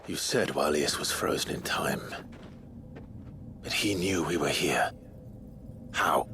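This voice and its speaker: A man playing a grave, gentle young hero in a video game, speaking in a measured, breathy near-whisper. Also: a bass voice, noisy background. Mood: troubled. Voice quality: breathy, often half-whispered. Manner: solemn, measured. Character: gentle, grave young male hero bearing heavy burdens. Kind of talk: video game cutscene acting. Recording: music behind the voice.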